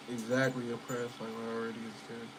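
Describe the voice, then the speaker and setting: A man's voice: medium pitch, background noise. A man in his early thirties chatting loosely at home with viewers, rambling, full of fillers and swearing. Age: 30s. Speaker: channel host chatting with viewers. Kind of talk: casual livestream chat.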